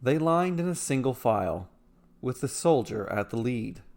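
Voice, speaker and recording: A man reading a scripted fantasy short story as a storyteller, with dramatic, deliberate phrasing. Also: medium pitch, animated, creaky, a little mellow.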